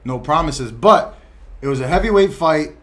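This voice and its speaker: A young man co-hosting a casual sports podcast, telling stories excitedly and swearing freely. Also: on the high side, moderate pace. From Bosnia. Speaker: podcast co-host. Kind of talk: casual chat.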